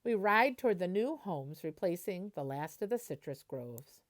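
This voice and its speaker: A woman reading a children's picture book aloud in an animated way, stopping to explain and translate as she goes. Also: lowish voice, normal speed.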